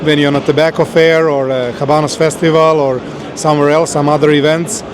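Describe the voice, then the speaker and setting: A man with a Serbian accent, a guest in a casual interview, speaking in a steady, measured way with some hesitation. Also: on the high side, crowd noise. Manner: steady, measured, a little halting.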